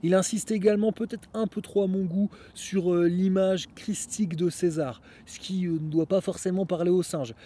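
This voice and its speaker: A man's voice: high voice, fairly even intonation, normal speed, few pauses, dark tone. A man hosting a solo podcast outdoors, talking casually and rambling with many fillers. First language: French. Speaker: podcast host.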